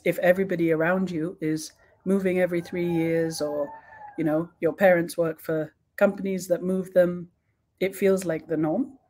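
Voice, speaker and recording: Young woman guest speaker, low-ish warm voice, reflective and unhurried. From born in Sweden, raised in several countries. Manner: thoughtful, with frequent ums and restarts. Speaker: guest expert. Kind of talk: online panel talk.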